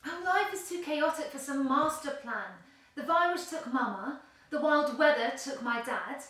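A woman's voice: high-pitched, animated, moderate pace, fairly bright tone. An actress in an audio play voicing a passionate, world-weary woman who speaks emphatically and emotionally. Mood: impassioned. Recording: very echoey room, distant, faint noise.

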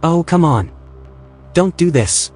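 An actor playing a literal-minded, slightly peevish station technician in a science-fiction audio drama. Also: male voice, a little high, expressive, slow pace, darkish tone. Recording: music bed behind the voice.

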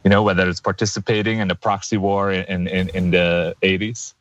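Earnest man in his thirties, deep voice. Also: flat delivery, a bit bright. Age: 30s. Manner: earnest, halting with many fillers and restarts. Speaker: guest activist. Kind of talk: interview answers.

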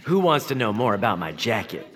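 An actor playing a vain, excitable, self-important little creature in a family audio drama, with theatrical delivery. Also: male voice, lowish voice, fairly quick, crowd noise. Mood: smug. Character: Noah, excitable, vain male puppet-like creature full of himself. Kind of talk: family audio fiction acting.

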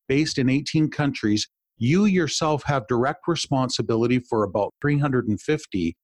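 A man's voice: medium pitch, dry recording. Man hosting a podcast interview, dry. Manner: enthusiastic, warm-worded, long wind-up questions. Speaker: podcast host and executive coach.

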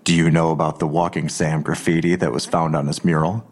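Menacing, very low-voiced male detective. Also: flat delivery, fairly quick. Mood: suspicious, pressing. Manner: pressing, accusatory, pointed questioning. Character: menacing, suspicious male police detective interrogating a woman. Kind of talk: audio drama acting.

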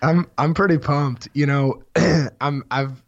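A hoarse man who is losing his voice, co-hosting a sports podcast in casual, rambling chat full of restarts. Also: on the high side, slow, no room sound. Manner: rambling, many restarts, excited. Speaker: podcast co-host, golf writer. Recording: dull, dry podcast mic sound.

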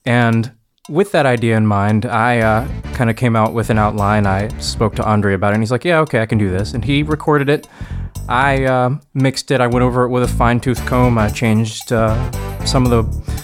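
Guy on a podcast explaining stuff casually, normal pitch, bit of music behind him. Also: fairly even intonation, moderate pace. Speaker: podcast panelist and audio producer. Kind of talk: casual chat, explaining production work.

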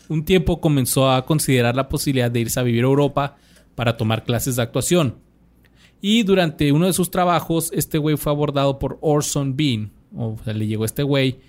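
A young man with a Mexican accent, his voice sitting a bit high, talking through a life story on a podcast. He reads from notes in a relaxed, slangy way, stumbling and restarting now and then. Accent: Mexican Spanish. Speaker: podcast host. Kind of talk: casual podcast chat, reading a bio.

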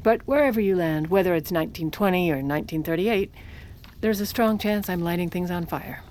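An actress playing a scheming, power-hungry 1920s First Lady in an audio comedy, speaking in a wry, deadpan manner. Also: lowish voice, a little fast, close to an everyday voice. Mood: wry narration. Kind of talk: audio comedy drama with first-person narration.

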